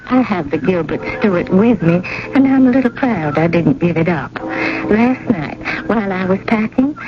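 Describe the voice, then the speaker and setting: A woman's voice: on the low side, close to an everyday voice. An actress playing a genteel, sentimental old widow in a radio drama, chatty and ladylike, with hesitant repetitions. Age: older. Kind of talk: 1980 American radio drama acting. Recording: dull, noisy old radio broadcast.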